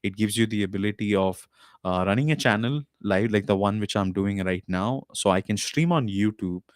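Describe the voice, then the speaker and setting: A man with a fairly low voice hosts a live podcast stream from a small home setup. He talks in a loose, rambling way, full of "uh"s and restarts. The desk mic sound is dry and close. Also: moderate pace, no echo. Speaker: podcast host. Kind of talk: casual live-stream talk. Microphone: desk mic with podcast recorder.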